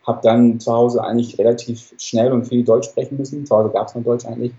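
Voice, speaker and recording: A man's voice: medium pitch, little pitch movement, a little fast, mellow, highs cut off. A man in his forties who grew up in Hamburg, telling his story as a podcast guest in a rambling way with many fillers and self-corrections. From Ghana, raised in Hamburg, Germany. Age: 40s. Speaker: guest, surgeon. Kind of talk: casual storytelling interview.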